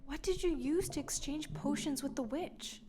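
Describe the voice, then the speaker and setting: Woman playing a high-voiced, gentle young mermaid. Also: moderate pace, slight background noise, close to an everyday voice. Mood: worried. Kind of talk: audio drama acting.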